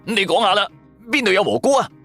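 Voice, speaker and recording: A man's voice: high-pitched, sing-song, fast talker, pushed and bright, faint music behind, pitched above the performer's own voice, full character voice. A man voicing a brash, loyal male buddy hungry for riches, exclamatory and slangy, in a radio drama. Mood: exasperated eager. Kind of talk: Cantonese radio drama acting.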